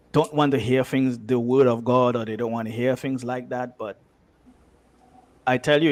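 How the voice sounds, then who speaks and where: A man with a mid-pitched voice talks as a guest writer in an interview. He is conversational and emphatic, drifting into anecdotes and circling back over his phrases. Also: a little mellow, no room sound.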